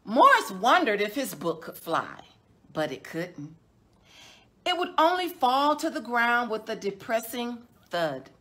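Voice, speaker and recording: A middle-aged woman, a children's storytime host, reading a picture book aloud in a measured, deliberate way with drawn-out words. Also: fairly high pitch, very animated, a bit bright. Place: indoors, small decorated room.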